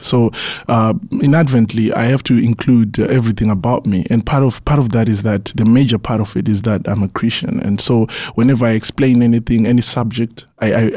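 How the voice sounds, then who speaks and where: A man's voice: on the low side, dark tone, no echo, studio quality. A young man from Zimbabwe, a musician guest on a radio interview, answering earnestly and often restarting his words. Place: radio studio.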